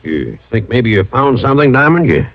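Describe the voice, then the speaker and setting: An actor playing an easygoing American rancher in an old-time radio drama, speaking in a folksy way. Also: male voice, mid-range voice, big pitch swings, normal speed, vocal fry. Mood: concerned. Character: folksy, easygoing rancher, brother of the dead man. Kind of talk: 1940s-50s radio acting. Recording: crackly muffled old radio recording.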